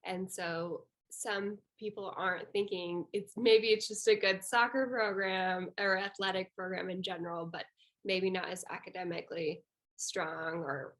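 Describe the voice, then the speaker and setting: A woman's voice: fairly low pitch, steady tone, moderate pace, a bit bright. A young woman working as an interviewer, asking questions in a casual, conversational style.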